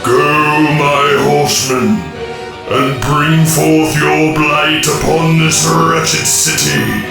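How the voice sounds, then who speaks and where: A menacing ancient warlord booms from a distance in a cavernous echo. His commands are grandiose and drawn out, as befits an audio drama villain, with loud music swelling around him. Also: flat delivery, creaky, full character voice. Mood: rousing fury. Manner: grandiose, slow, commanding declamation. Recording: distant, echoing voice under heavy music.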